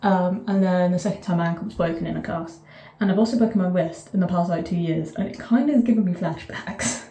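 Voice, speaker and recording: A woman's voice: fairly low pitch, quick pace, warm tone, a little hiss or hum. A woman with a southern English accent, chatting casually to camera from a bathtub, rambling through asides and cutting herself off mid-thought. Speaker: vlogger. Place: sitting in a bath, bathroom. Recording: echoey bathroom.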